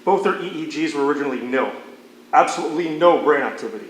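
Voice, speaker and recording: A man plays a hospital doctor in a stage drama, speaking plainly with wry sympathy. His voice is fairly high and comes echoing from some distance, with faint noise. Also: lively intonation, fast, close to an everyday voice. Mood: intrigued. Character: matter-of-fact male hospital doctor, wryly sympathetic. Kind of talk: naturalistic stage dialogue.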